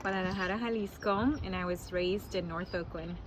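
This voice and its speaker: A woman's voice: on the low side, steady tone, a little fast, noisy background. A woman in her forties who was born in Mexico, answering interview questions as a wedding planner in a candid, casual way, laughing often and swearing now and then.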